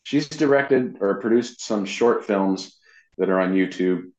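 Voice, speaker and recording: A man's voice: fairly low pitch, measured pace, darkish tone. A man giving a casual, rambling interview as a guest, telling anecdotes about his work. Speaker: interview guest, voice actor and web designer. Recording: dull, roomy, lo-fi sound.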